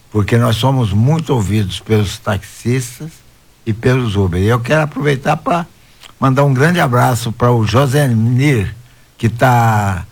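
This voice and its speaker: A man's voice: lowish voice, darkish tone, breathy edge. A man hosting a radio talk show, greeting listeners and questioning guests with hesitant fillers.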